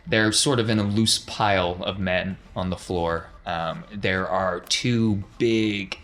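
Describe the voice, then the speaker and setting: Low-voiced man running a tabletop game. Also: steady tone, slow, a bit bright. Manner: casual, explanatory. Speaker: game master. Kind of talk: narrating a tabletop roleplaying game. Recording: faint music behind the voice.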